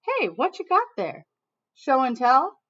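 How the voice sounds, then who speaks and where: A woman voicing boisterous, teasing schoolchildren with loud exclamations in a storybook. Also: high-pitched, huge pitch swings, a little slow, exaggerated voice. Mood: mocking. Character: teasing schoolkids, cheering and mocking. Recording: dull, lo-fi sound.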